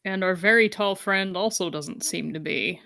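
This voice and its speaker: A woman's voice: medium pitch, normal speed, vocal fry, pushed and bright, no room sound, studio quality. A woman chatting casually as a player in a tabletop game. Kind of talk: casual chat.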